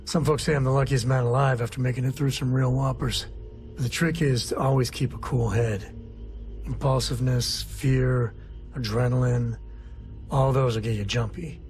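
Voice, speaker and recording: A man's voice: medium pitch, fairly even intonation, normal speed, a little mellow, soft background music, close to an everyday voice. A man playing an easygoing, folksy space explorer and single father in a video game. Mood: easygoing, reflective. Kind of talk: video game character dialogue.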